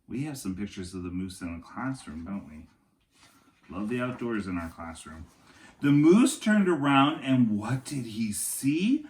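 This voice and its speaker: A man reading a picture book aloud to young children like a teacher, in an expressive, dramatic way with chatty asides. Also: mid-range voice, a little slow, reverberant.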